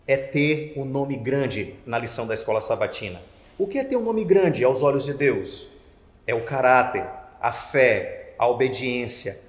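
A man in his thirties giving a devotional Bible lesson to camera, expressive with emphatic rising questions, ending in a quiet prayer. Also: medium pitch. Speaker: Bible study teacher. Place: indoor studio with wooden slat wall. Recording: roomy with long reverb.

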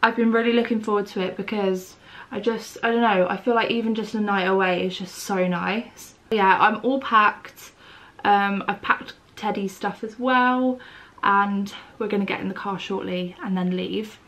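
Husky-voiced young woman vlogging. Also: mid-range voice, fairly even intonation, normal speed, fairly bright tone. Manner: chatty, rambling, fillers like "like". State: unwell, voice going. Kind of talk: casual vlog chat to camera. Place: bedroom indoors, then outdoors in countryside. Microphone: handheld camera at arm's length.

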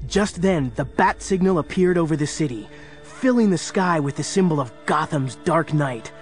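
High-pitched male dramatic story narrator. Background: music.

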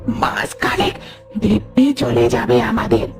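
A woman playing a sly, menacing old ghost crone in a cartoon horror story, with a cackling voice and a drawn-out, taunting manner. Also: medium pitch, huge pitch swings, vocal fry, over music, heavily acted. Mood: menacing, gloating.

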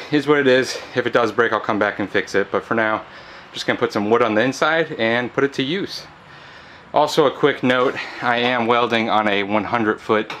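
A man with a fairly low voice talks through a DIY build in a casual vlog style. He sounds laid-back and matter-of-fact, explaining things plainly as he goes. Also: a bit bright. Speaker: host of a DIY fabrication channel.

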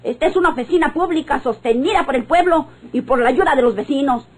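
A woman plays a brazen working-class Mexican gossip in an old radionovela. She is high-pitched and mouthy, talking back with cheek. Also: quick pace, lightly acted. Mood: indignant.

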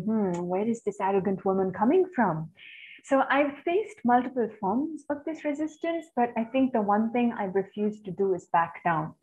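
Soft-spoken woman from India on a call. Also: mid-range voice. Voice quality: soft-voiced. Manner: earnest, reflective, articulate. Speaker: guest speaker. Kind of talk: webinar Q&A answer. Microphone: video call. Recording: slightly dull video-call audio.

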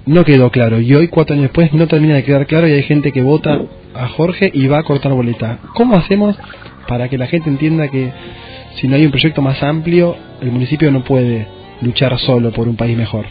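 A man's voice: mid-range voice, a little fast. A man speaking casually as a panelist putting a question to a radio guest. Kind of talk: casual conversational radio talk. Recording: dull lo-fi radio audio with music.

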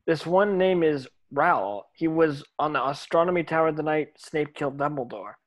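An earnest teenage wizard hero, read by a young man for an amateur screenplay reading. His voice is a little high for a male. He comes across as decisive. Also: expressive, moderate pace, close to an everyday voice. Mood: grim. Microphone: video call.

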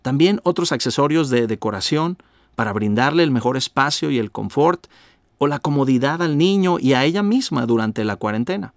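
A man in his thirties giving a calm, measured radio teaching, often asking the listener direct questions. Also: mid-range voice, creaky, no echo, studio quality. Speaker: pastor and radio host.

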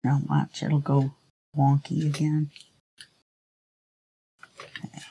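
A woman's voice: deep voice, completely flat delivery, slow pace, vocal fry, warm tone, slightly rough. A woman hosting a craft tutorial, casually chatting to herself as she works, a little sniffly. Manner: chatty, talks to herself while working. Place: craft table at home. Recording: noisy home recording.

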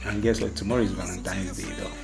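A man's voice: sits low, steady tone, fast, gravelly. Playful young man chatting, music behind. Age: young adult. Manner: playful, teasing, loose rambling talk. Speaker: vlog co-host. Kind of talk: casual chat. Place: small indoor room.

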